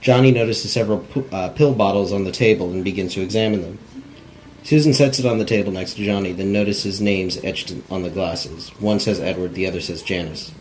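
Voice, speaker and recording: Casting: non-actor man as script narrator, lowish, plain, unrehearsed, slight background noise. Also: brisk, a little mellow, low-quality recording. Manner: plain, stumbles over words. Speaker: reader of stage directions. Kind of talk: scripted narration, amateur cold read.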